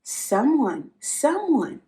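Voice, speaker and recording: An eager little mouse from a picture book waits for a guest, as a woman reads it aloud. The voice sits high and swoops up and down with excitement, and it insists on its words. The delivery is slow and deliberate. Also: heavily acted, poor audio.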